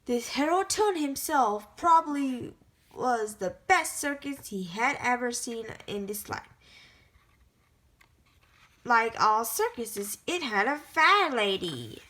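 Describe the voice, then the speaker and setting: Slow, bright-voiced woman reading a storybook. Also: on the high side, very animated. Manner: careful, halting reading with frequent self-corrections. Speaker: storybook reader. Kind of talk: reading a picture book aloud.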